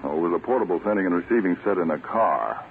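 An actor playing a calm, authoritative American counterintelligence chief in a 1940s radio drama, measured and assured. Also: male voice, low voice. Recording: tinny, noisy old radio recording.

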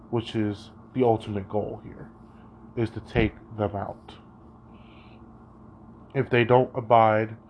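Young guy mulling stuff over out loud, slow, kinda lowish voice, muffled mic w faint hiss. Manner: hesitant, thinking aloud, says "you know" a lot. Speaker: student. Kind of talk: spoken reading reflection.

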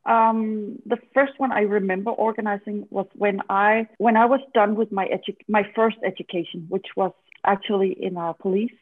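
A woman's voice: medium pitch, steady tone, normal speed, no room sound. A woman in her forties, an event strategy consultant, answering interview questions in a casual, hesitant way with lots of fillers. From Denmark. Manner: hesitant, many fillers, laughs easily. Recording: dry tinny phone-like line.

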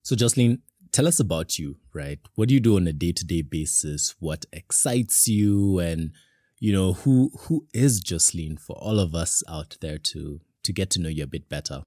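Very deep-voiced man host. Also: animated, normal speed, a little mellow, no echo, studio quality. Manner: chatty, with many "you know" fillers and restarts. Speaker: podcast host. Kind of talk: casual podcast hosting.

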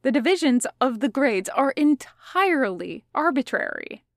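A woman's voice: sits high, big pitch swings, unhurried, vocal fry, no room sound, clean studio sound, voice pushed up, heavily acted. A woman voicing a prim, exasperated, pedantic schoolboy with precise, formal wording in an audiobook story. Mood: indignant.

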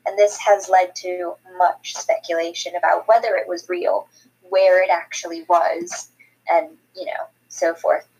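Scottish female co-host, slightly husky, chatty and explanatory. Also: on the low side, animated, measured pace, a little mellow, poor audio. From Scotland. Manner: chatty, explanatory, with frequent ums. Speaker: podcast co-host. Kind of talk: casual chat.

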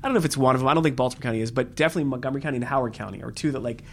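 A fast-talking American man hosts a casual interview podcast. He is informal and bantering, with a mid-pitched voice and faint noise behind it. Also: creaky, a bit bright. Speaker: podcast host.

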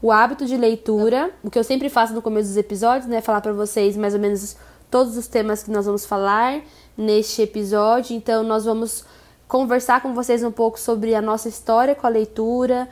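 A woman's voice: on the high side, fairly even intonation, a little fast, a little mellow. Chatty, upbeat woman hosting a podcast. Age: around 30. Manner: chatty, upbeat, addresses listeners directly. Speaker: podcast host. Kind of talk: casual podcast interview.

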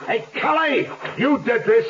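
An actor playing a blustering, angry villain, a racehorse owner, in a 1970s radio drama. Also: male voice, extremely high pitch, big pitch swings, measured pace, fairly bright tone, exaggerated voice. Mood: furious. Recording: dull 1970s radio recording.

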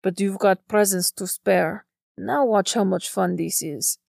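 A woman voicing a gentlemanly male ghost in an audiobook, mischievous and suave as he instructs. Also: medium pitch, a little slow, gravelly, no echo, clean studio sound. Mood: suave, instructive.

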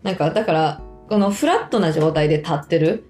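A woman's voice: low voice, fairly quick, dark tone. A woman with a Kansai accent chatting casually and candidly as she tells a story on a podcast. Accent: Kansai Japanese. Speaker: podcast talker. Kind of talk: casual chat. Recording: roomy echo, music behind.

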